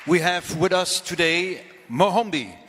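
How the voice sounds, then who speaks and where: A man's voice: sits high, lively intonation, measured pace, fairly bright tone, big-room sound, a little hiss or hum. A man moderating an event and introducing the next performer in an upbeat announcing tone. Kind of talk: stage introduction.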